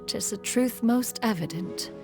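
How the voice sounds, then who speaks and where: An actress playing a proud, stern yet caring ancient crane immortal in a video game, speaking in formal, archaic, imperious phrases over music. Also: female voice, a little high, a little slow, vocal fry. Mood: fond, stately. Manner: formal, archaic, imperious, calls herself "one". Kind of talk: scripted video game story narration.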